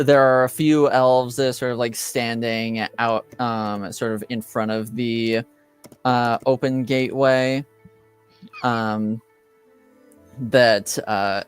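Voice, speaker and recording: A man runs a tabletop roleplaying game as game master. His voice is clear and smooth, and he narrates slowly, feeling his way haltingly through each description. Music plays behind him. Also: mid-range voice. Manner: halting, hesitant, searching for words.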